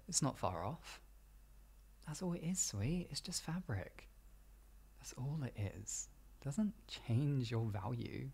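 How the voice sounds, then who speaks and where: A man playing a protective, affectionate boyfriend in an improvised ASMR roleplay, speaking in a husky, soft, reassuring and intimate way. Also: mid-range voice, full character voice. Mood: gentle, comforting. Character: protective, affectionate boyfriend comforting his insecure partner.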